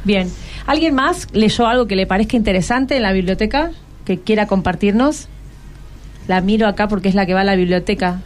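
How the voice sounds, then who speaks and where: A woman's voice: mid-range voice, fairly quick, soft background music. A woman with a Buenos Aires accent hosting a conversational radio interview, prompting with short follow-up questions. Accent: Argentine, Buenos Aires. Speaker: radio host interviewing schoolchildren.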